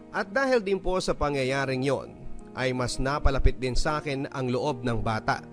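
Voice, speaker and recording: A man narrating a listener's letter in an earnest, measured storytelling manner for a radio drama. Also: medium pitch. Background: guitar music.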